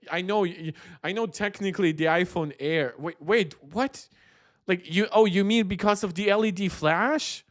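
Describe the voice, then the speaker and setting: A man's voice: high voice, no echo, clean studio sound. A man hosting a tech livestream, chatting and giving opinionated reactions as he talks directly to his viewers. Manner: conversational, opinionated, talking to viewers. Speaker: tech channel host. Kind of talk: livestream chat and reaction commentary.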